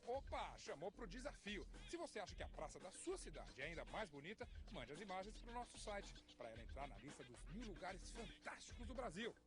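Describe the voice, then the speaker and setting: Brazilian male travel narrator, playful script, swooping delivery, clear music bed. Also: high-pitched, very animated, brisk, hardly any pauses. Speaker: TV reporter.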